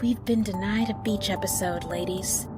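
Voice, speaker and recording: A woman's voice: medium pitch, steady tone, normal speed, over loud music, close to an everyday voice. A woman playing a brash, restless young friend in an audio drama, slangy, blunt and playfully dramatic. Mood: disappointed.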